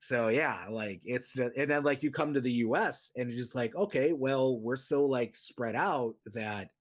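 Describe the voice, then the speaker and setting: Male podcast guest, 30s, husky mid-range voice, loose and rambling delivery. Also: medium pitch, unhurried. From United States. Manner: rambling, lots of "like" and restarts. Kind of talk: casual interview chat.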